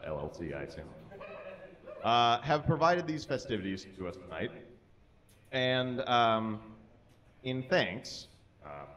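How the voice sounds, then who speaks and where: Man with slow, lively, high-pitched delivery. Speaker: live show performer reading aloud. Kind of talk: comic live reading of fan fiction to an audience. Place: small indoor stage with curtain and brick wall. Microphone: microphone on a stand.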